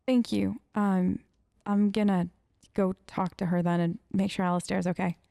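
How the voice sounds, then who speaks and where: A woman gamer role-playing her character's dialogue off the cuff in a hesitant, halting, conversational way. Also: medium pitch, flat delivery, gravelly, warm tone, no echo, studio quality. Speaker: gaming let's player. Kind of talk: improvised in-character role-play of game dialogue.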